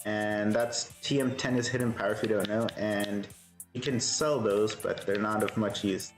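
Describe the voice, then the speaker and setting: A man's voice: on the low side, moderate pace, echoey room, faint music behind. Soothing man chatting through a stream. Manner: relaxed, thinks aloud and answers chat. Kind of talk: casual live-stream gameplay chat.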